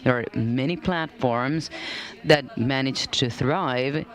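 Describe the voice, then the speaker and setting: A woman's voice: very deep voice, slow pace. Chatty woman policy manager on a panel. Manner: conversational, with many fillers like "like" and "you know". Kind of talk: panel discussion talk.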